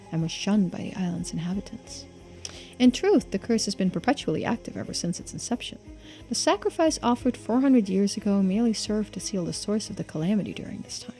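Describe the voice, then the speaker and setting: A woman's voice: medium pitch, very animated, brisk, warm tone, rough recording. A woman streaming a game playthrough, reading on-screen text aloud and adding casual remarks. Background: game music, faint to clear.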